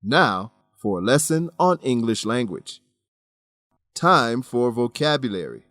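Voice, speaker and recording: A man's voice: medium pitch, very animated, a little slow, a bit bright, no echo. A man narrating a radio drama and English lesson as host, explaining expressively in scripted, learner-friendly sentences.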